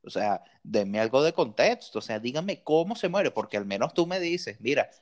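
A man's voice: medium pitch, sing-song, moderate pace. A man with a Venezuelan accent co-hosting a casual podcast, speaking emphatically and often repeating words and rhetorical questions. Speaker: podcast co-host. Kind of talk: casual opinionated podcast chat.

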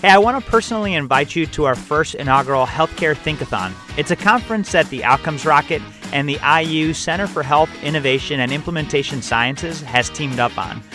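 A man's voice: fairly high pitch, a little fast, few pauses, pushed and bright, faint music behind. A man hosting a health podcast, reading a promo and introducing a guest in an upbeat, promotional way. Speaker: podcast host.

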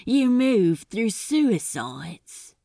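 Lady doing a rattled demon guy playing it cool, flat and slow, audiobook style. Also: a little high, creaky, dry recording, studio quality, exaggerated voice. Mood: stunned, flat. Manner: flat, clipped, guarded. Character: wary, rattled male demon feigning nonchalance.

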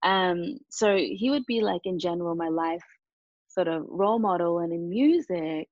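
A woman from Japan and Australia, a native Japanese speaker, talking earnestly and reflectively as an interview guest, in a calm, hesitant way. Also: on the low side, moderate pace, dry recording, muffled recording.

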